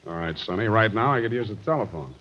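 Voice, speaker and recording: An actor playing a sardonic, wisecracking private detective in a 1940s-style radio drama, with narration and banter. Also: male voice, fairly low pitch, lively intonation, quick pace, a little hiss or hum, natural voice. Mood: wry, needling. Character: wry hard-boiled male private detective narrating his case. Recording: dull vintage radio recording with music.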